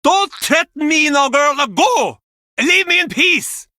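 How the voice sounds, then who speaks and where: A crusty old seafarer from a video game. His forceful voice leaps up and down as he grumbles curtly or spins grand, theatrical yarns, all captured close and dry. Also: extremely high pitch, animated, slow pace, no echo, exaggerated voice. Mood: angry, blustering. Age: older. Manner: blustering, curt, theatrical storytelling. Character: gruff, cantankerous old fisherman given to tall tales. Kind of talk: video game character acting.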